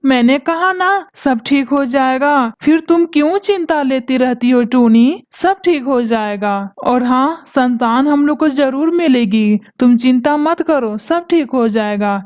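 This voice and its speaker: Kind male cartoon bird voiced by a woman, high-ish, calm and coaxing. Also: unhurried. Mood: reassuring. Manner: soothing, coaxing. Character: gentle, reassuring male bird, husband.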